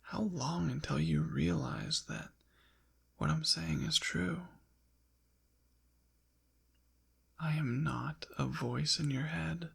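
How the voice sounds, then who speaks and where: A man playing a suave, possessive ancient demon in an intimate ASMR roleplay, teasing and haughty in formal, old-fashioned wording, with a breathy, often whispered, gravelly voice. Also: fairly high pitch, very animated, slow pace, a bit bright, full character voice. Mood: smug, impatient.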